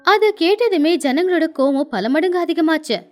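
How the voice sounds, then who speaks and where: High-pitched, animated woman storyteller. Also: moderate pace, faint music behind. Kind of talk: scripted moral story narration.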